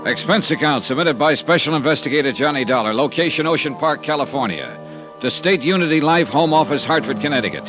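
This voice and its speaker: An American actor playing a brisk, probing insurance investigator in 1950s radio style, with clipped, hard-boiled delivery. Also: male voice, on the high side, animated, close to an everyday voice. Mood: dry, narrating. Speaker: lead actor in detective radio serial. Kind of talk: 1950s radio acting and first-person narration. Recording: vintage radio recording with music.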